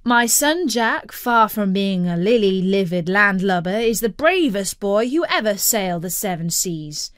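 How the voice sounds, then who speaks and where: A woman's voice: medium pitch, expressive, measured pace, few pauses, clean tone, dry recording, studio quality, lightly acted. A woman voicing a jovial father in a children's storybook, speaking in a declamatory, mock-formal way. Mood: mock-grand. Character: jovial father playing along with mock-grand speech.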